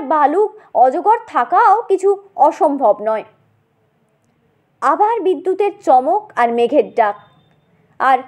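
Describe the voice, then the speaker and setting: A woman narrating a comic short story in an expressive, theatrical manner. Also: very high voice.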